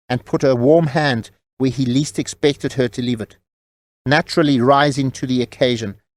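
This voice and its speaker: A man's voice: medium pitch, darkish tone, dry recording. A man reading scripted novel narration as the storyteller of an audio drama.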